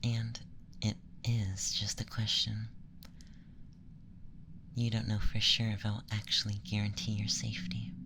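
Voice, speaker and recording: A performer voicing an aloof, teasing alien femboy interrogator in a slow, deliberate, soft ASMR whisper. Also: mid-range voice, monotone, slight background noise. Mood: teasing, probing.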